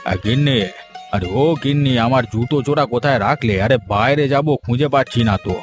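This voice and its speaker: A man's voice: medium pitch, quick pace, natural voice. A man voicing an easygoing old village husband in a cartoon, placid and cajoling. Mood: puzzled. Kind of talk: cartoon voice acting. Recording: music bed behind the voice.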